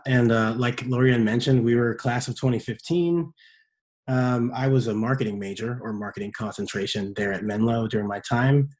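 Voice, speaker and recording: A man in his late twenties from Seattle, talking in a relaxed, conversational way as a podcast guest. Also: fairly low pitch. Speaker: guest, startup operations manager. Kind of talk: podcast interview.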